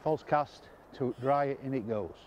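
An older man presenting a fly fishing lesson on a riverbank, explaining calmly with quiet enthusiasm, recorded on a clip-on mic. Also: medium pitch, measured pace, muffled recording, slight background noise. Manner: calm, explanatory, enthusiastic about the fish. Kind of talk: outdoor instructional presenting. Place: outdoors on a river bank.

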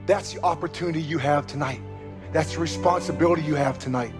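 A man's voice: on the high side, fast talker, over music, natural voice. A man playing a fatherly veteran football coach in a game cutscene, giving a plain-spoken, emphatic, resolute pep talk. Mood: rousing.

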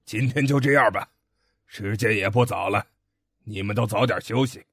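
A man playing a stern father in an ancient-era audio drama, speaking gravely and with authority. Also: fairly low pitch, a bit bright, no echo, heavily acted. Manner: slow, grave, commanding. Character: stern father of a young heir, ancient China. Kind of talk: fan audio drama acting.

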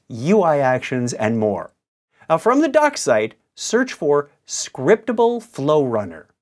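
Lively man presenting a tutorial. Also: medium pitch. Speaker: instructor presenting a software tutorial. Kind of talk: scripted instructional narration.

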